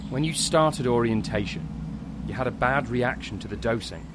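Man playing a halting, protective father. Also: mid-range voice, background noise, natural voice. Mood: pained, confessing. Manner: halting, earnest, gentle. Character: protective, burdened father of a teenage girl. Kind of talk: audio drama acting.

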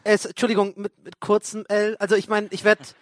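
A man playing a pedantic, touchy boy detective with a husky voice in a comic audio play. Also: high-pitched, monotone, brisk, a bit bright, dry recording. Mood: miffed, pedantic. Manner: pedantic, hesitant, exasperated.

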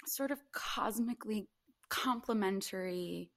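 A woman's voice: mid-range voice, measured pace, a bit bright, no echo, clean studio sound. A woman co-hosting a casual podcast, chatting in a playful, mock-dramatic way. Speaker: podcast co-host.

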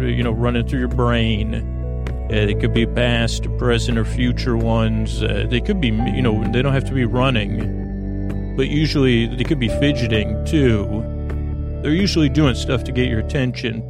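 A man hosting a bedtime podcast in a creaky, slightly rough-edged voice, meandering and halting as he gently soothes the listener. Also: mid-range voice. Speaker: podcast host. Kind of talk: rambling bedtime podcast monologue.

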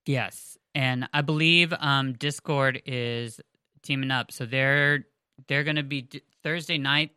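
Chatty lady cohosting a podcast, rambly, smooth clear voice. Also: very deep voice, steady tone, slow, pushed and bright, no echo, studio quality. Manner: chatty, rambling with fillers and restarts. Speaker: podcast co-host. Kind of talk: casual podcast chat. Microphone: headphones on, close mic.

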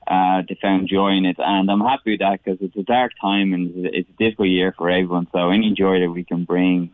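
Guy on the phone to a radio show, lowish voice, upbeat, lists stuff fast, tinny line. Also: level tone, dry recording. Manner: upbeat, lists things in quick runs. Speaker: caller. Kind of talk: phone interview. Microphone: telephone.

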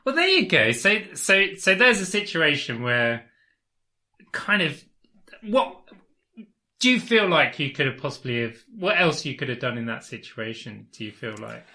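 A podcast host, a man, with a very bright, forceful voice pitched a bit high. He laughs readily and trips over his words while building long questions. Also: sing-song, moderate pace. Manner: laughs often, stammers through questions. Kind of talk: casual interview.

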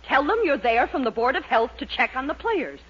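A pushy, scheming young woman, very high and wildly animated in pitch. She gives orders briskly, in old radio comedy style, on a dull vintage recording. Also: husky, exaggerated voice. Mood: bossy, eager. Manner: brisk, commanding. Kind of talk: 1940s radio comedy acting. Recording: dull, band-limited 1940s radio recording.

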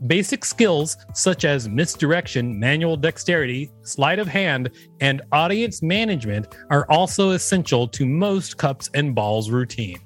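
A man's voice: fairly high pitch, animated, a little slow, soft background music. A man co-hosting a podcast, reading an encyclopedia page aloud and adding casual commentary. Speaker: podcast co-host.

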